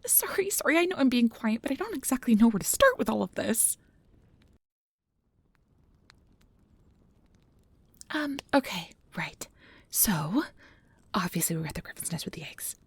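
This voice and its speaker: Woman playing a nervous young female researcher, quick rambling speech, slightly breathy and husky, caring, flustered, apologetic, ASMR fantasy roleplay. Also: fairly high pitch, sing-song, dry recording, heavily acted. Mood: hesitant, nervous. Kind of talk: ASMR roleplay audio drama.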